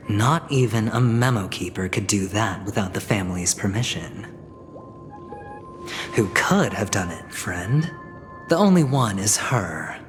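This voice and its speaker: A suave schemer in cavernous reverb. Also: male voice, fairly low pitch. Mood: sly, accusing. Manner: smooth, insinuating, theatrical. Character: suave scheming male corporate negotiator, a smiling gambler. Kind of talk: video game voice acting, dramatic dialogue. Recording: long reverb, loud music behind. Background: music.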